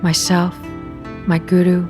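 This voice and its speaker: Very slow, low-voiced woman guiding meditation. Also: completely flat delivery, warm tone. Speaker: meditation guide. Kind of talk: guided meditation, slow spoken readings with long pauses. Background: meditation music at beginning and end.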